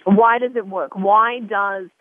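A woman's voice: medium pitch, fairly even intonation, slow, crowd noise. A woman hosting a radio talk show, chatty and often restarting her sentences. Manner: chatty, self-interrupting, repeats words. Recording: narrow phone-like line.

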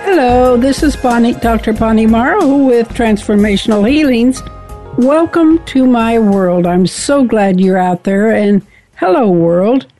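Slow-talking folksy woman radio host. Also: a little high, lively intonation, warm tone, soft background music, low-quality recording. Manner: folksy, homey and chatty. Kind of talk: casual talk radio interview.

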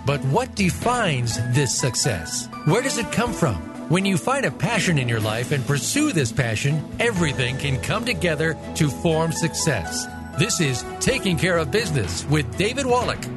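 A man's voice: fairly high pitch, very animated, moderate pace, few pauses. A man reading a scripted show introduction in an announcer's manner. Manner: announcer delivery. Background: music.

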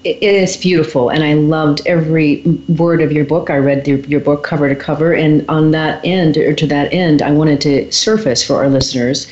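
A woman's voice: low voice, fairly even intonation, normal speed, few pauses, darkish tone, reverberant, highs cut off. A woman from Texas hosting an interview podcast, effusive and enthusiastic as she asks her questions. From Texas, USA. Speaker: podcast host. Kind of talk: interview conversation.

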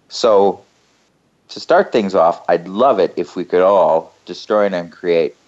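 A man hosting a talk show, speaking in a relaxed, rambling way and often repeating phrases. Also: low voice, lo-fi sound. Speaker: host.

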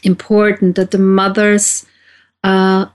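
A woman from Austria with an Austrian German accent, a co-host on a radio talk show who speaks haltingly with many ums and restarts. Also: medium pitch, completely flat delivery. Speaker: co-host, self-help teacher.

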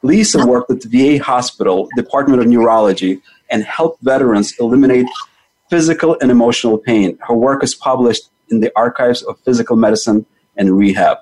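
Husky-voiced man hosting a health show. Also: medium pitch, a little fast, a little mellow, rough recording. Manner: emphatic, repeats key figures and words for stress. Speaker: host. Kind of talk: scripted show introduction and interviewing.

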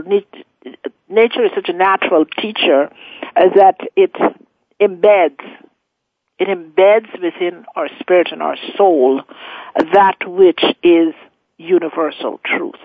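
A woman from Guyana hosting a radio spirituality course, speaking off the cuff in a deliberate, measured way with emphatic pauses. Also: on the low side, dry recording. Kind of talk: unscripted radio talk answering listener questions. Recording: phone-like, narrow-band radio line.

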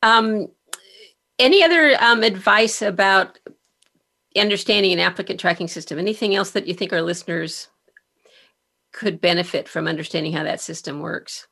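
A woman hosting a podcast interview in a conversational way, pausing often as she puts her questions. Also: lowish voice, gravelly, pushed and bright.

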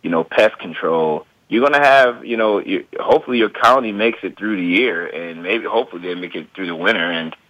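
A man from the US Midwest answering interview questions about running his small business, relaxed and thinking aloud. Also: low-pitched, no echo, low-quality recording. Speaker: guest beekeeper and small business owner.

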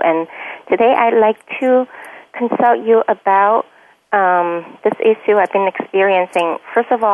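Woman from China on a tinny phone line. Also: mid-range voice. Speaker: caller to a radio show. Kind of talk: personal, confiding talk on air.

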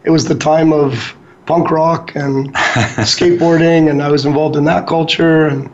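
A middle-aged man answers questions about his life in a fairly high voice. He sounds relaxed and self-deprecating. The audio is muffled and lo-fi, with a faint hiss underneath. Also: measured pace. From Calgary, Canada. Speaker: architect, interview guest. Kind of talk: casual interview. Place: office boardroom.

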